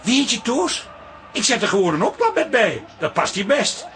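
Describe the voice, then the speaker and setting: An actor playing a lazy, self-pitying husband who whines and dodges blame in a radio comedy. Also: male voice, very high voice, sing-song, moderate pace, fairly bright tone, full character voice. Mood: scheming. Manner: whiny, dryly evasive. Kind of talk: radio comedy drama acting.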